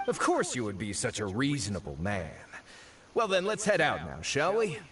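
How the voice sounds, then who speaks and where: An actor playing a smooth-talking young male foreign researcher in a video game, breezy and theatrical, with a scheming streak. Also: medium pitch, wildly swooping pitch, normal speed, fairly bright tone, far from the mic, a little hiss or hum. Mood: wry. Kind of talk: video game dialogue acting.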